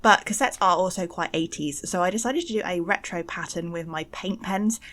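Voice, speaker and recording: A young woman giving a casual, chatty craft voice-over for an art channel, informal and gently self-deprecating. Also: lowish voice, normal speed. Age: young adult. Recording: music behind the voice. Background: faint background music.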